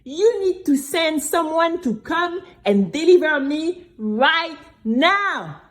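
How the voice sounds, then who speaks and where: A woman playing a brave young girl who tells her own story in an expressive, exclamatory way for a children's audio drama. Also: sits high, pitched above the performer's own voice. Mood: urgent pleading. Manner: expressive, exclamatory, with drawn-out emphatic words.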